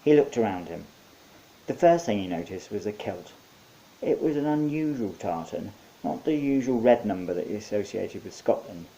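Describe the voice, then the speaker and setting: A man's voice: on the low side, animated, normal speed, creaky, dark tone, rough recording. A man narrating his own short story in a wry, conversational storytelling manner. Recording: faint background hiss.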